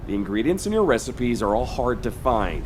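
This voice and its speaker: Casting: male actor as earnest young game soldier, chatty, mid-range voice with lively inflection. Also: mid-range voice, a little fast, slight background noise, natural voice. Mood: earnest, curious. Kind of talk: video game voice acting.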